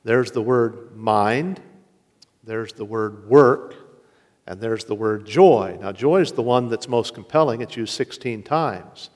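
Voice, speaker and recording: A man preaching a sermon as pastor, earnest, slowing down to stress key words. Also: on the low side, a little mellow. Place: church sanctuary. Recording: long reverb of a large hall.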